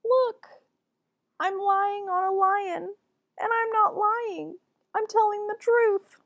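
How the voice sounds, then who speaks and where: A woman's voice: very high-pitched, fairly even intonation, takes it slowly, a bit bright, no room sound, highs cut off, voice pushed up, heavily acted. A woman voicing a chatty, earnest young schoolgirl in a storybook, speaking emphatically as she explains. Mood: playful, insistent.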